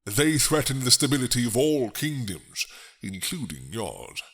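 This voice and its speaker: A man voicing a grave, suspicious king in a dramatic roleplay, stately, formal and deliberate. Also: mid-range voice, big pitch swings, fairly bright tone. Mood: grave, commanding.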